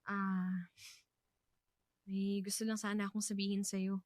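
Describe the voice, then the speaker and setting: A woman with a Filipino accent playing a tender widow in a radio drama, softly and hesitantly opening her heart to love again. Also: mid-range voice, level tone, a little slow, no room sound, clean studio sound, natural voice. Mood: shy, apologetic. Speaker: radio drama actress and narrator.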